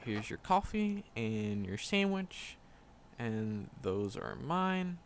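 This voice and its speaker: A man's voice: mid-range voice, big pitch swings, takes it slowly, slight background noise. A man playing a shy, nervous magic professor in a roleplay audio drama, skittish and earnest, stammering and repeating words as he talks to the listener. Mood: shy, pleased.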